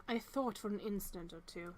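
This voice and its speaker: A woman's voice: mid-range voice, measured pace, a little hiss or hum. A woman reading visual novel text aloud with casual commentary, reacting aloud and stumbling now and then over words.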